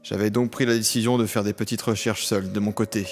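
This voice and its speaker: A man's voice: on the low side, level tone, fairly quick, over music, close to an everyday voice. An actor playing a tormented criminal who tells his own story in a solemn, literary way in an audio drama. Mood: brooding. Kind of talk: scripted audio drama narration and dialogue.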